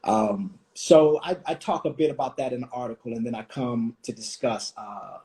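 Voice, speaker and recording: Man in his forties, from Washington D.C., thoughtful, pauses a lot, restarts sentences. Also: medium pitch, dark tone. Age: 40s. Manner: thoughtful, many fillers and restarts. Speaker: host. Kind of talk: reflective conversation.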